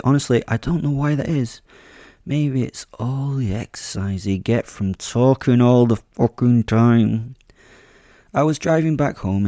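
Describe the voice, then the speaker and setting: Man, deep, offhand and chatty, dark humor, dry close sound. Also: no room sound. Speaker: podcast host and narrator. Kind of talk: first-person horror storytelling with casual asides.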